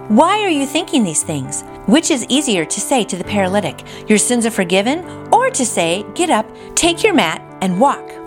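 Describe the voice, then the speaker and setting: A woman hosting a devotional, reading and commenting in an encouraging, friendly way that speaks directly to listeners. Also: medium pitch. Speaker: devotional podcast host. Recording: voice over background music.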